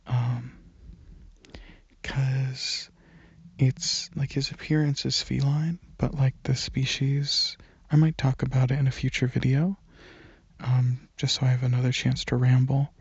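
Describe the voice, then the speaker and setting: A man speaking softly and breathily, often near a whisper, in a casual, halting ASMR ramble into a close microphone. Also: medium pitch, flat delivery, husky, no echo, muffled recording. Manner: hesitant, halting, informal. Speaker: ASMR channel creator.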